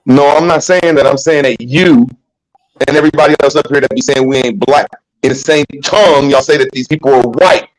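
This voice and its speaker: A man with a mid-pitched voice rambles through his point, scattering fillers as he goes. His call mic keeps breaking up. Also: a little fast, poor audio. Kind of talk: casual online group discussion.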